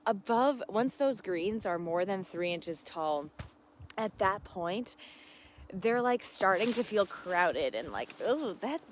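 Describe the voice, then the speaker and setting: Woman, mid-pitched voice, effusive and affirming, giggly, casual live chat, gardening host. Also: expressive, slow, a little hiss or hum. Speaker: gardening host answering live viewer questions.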